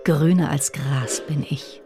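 A performer reciting an ancient poet's intimate love lyric in a measured, intense way, as in a radio feature. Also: mid-range voice, fairly even intonation, vocal fry, dark tone, faint music behind. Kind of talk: poetry recitation, literary reading.

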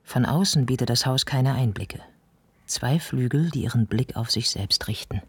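A man giving a scripted radio play narration in a measured, deliberate, descriptive manner. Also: mid-range voice, expressive, slightly rough, dry recording.

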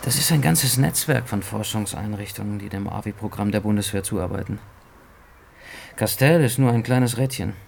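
A man playing a sceptical, world-weary journalist, speaking with a creaky voice and wry, lecturing manner in a radio drama. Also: lowish voice, normal speed, slight background noise. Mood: sardonic, explanatory. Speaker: supporting actor.

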